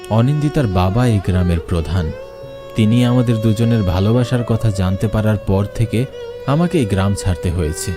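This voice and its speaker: A man with a fairly low voice tells a ghost story in the first person, building suspense line by line. Clear music plays under his narration throughout. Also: expressive, normal speed.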